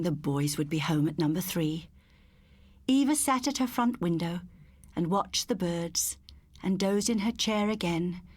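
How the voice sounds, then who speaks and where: A woman's voice: fairly low pitch, dry recording. A woman reading a scripted ghost story as an audiobook narrator, in a dramatic, suspenseful storytelling manner.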